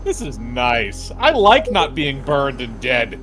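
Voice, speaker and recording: A man's voice: mid-range voice, huge pitch swings, takes it slowly, music in the background. A man chatting casually as a co-player in a game session, bantering along. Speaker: co-player voicing a game character.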